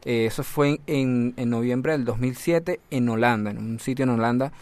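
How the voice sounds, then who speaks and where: A man's voice: medium pitch, moderate pace, a little mellow, no room sound. A man from Caracas co-hosting a music radio show, talking in an easygoing way as he tells a story. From Caracas, Venezuela. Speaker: radio co-host. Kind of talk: casual radio chat.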